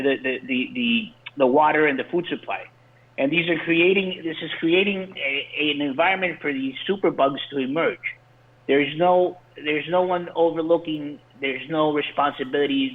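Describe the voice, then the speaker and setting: A man who grew up in Colombia, speaking as a guest industry insider, earnest and prone to restarting his words. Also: on the high side. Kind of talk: explaining in an interview. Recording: phone-line call-in.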